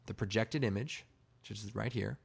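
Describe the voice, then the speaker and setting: A man with a slightly hoarse, raspy voice giving a casual, informal software tutorial, explaining step by step. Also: lowish voice, normal speed. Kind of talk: casual screen-recorded tutorial narration.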